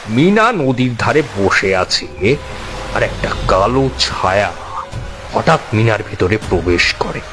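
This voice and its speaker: A man playing a middle-aged villager who gravely tells of a local curse in an audio horror story. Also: lowish voice, big pitch swings, slow pace. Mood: grave, ominous. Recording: loud music under the voice.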